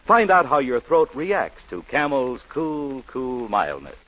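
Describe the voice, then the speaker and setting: Male commercial announcer, vintage radio sell, animated pitch, rough-edged voice, dulled period sound. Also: slow. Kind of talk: 1940s radio advertisement read. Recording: muffled 1940s radio recording.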